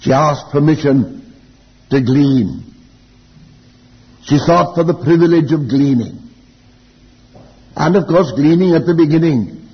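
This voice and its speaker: Elderly preacher, muffled in a reverberant hall. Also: male voice, a little high, slightly rough. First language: Welsh. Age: older, elderly. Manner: deliberate, reflective, with rhetorical questions and repeated phrases. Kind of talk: Bible teaching sermon.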